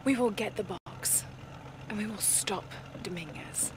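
A woman plays a determined young female adventurer in a dramatic game scene. She speaks earnestly at a middling pitch, carrying old grief, over faint background noise. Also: animated, measured pace, close to an everyday voice. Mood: regretful, resolved. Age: young adult. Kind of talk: dramatic video game cutscene acting.

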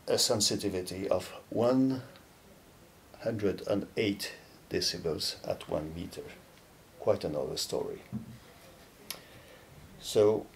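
Low, gravelly middle-aged man. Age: 50s. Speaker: loudspeaker company presenter. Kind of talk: explanatory product talk to camera. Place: dark indoor studio or demo room, seated. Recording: low rumbling background noise.

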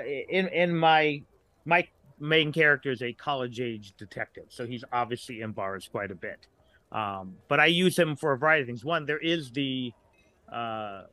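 A middle-aged man talking casually as a podcast guest, a writer who haltingly searches for examples as he goes. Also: medium pitch, sharp tone, no echo.

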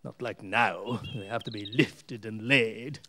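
A man's voice: fairly low pitch, slow pace, bright, forceful tone, a little hiss or hum. A man playing a jovial, curious older husband in a radio play, chuckling and colloquial. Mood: chatty, nostalgic.

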